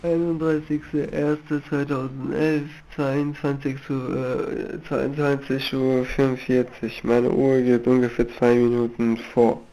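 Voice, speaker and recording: A man rambling casually in a halting, slangy and crude way. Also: medium pitch, level tone, warm tone, rough recording.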